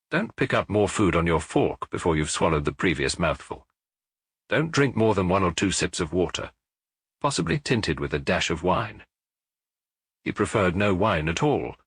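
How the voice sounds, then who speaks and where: A man narrating a detective novel as an audiobook in a measured, expressive way, recorded close to the mic. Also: fairly low pitch, husky, no echo.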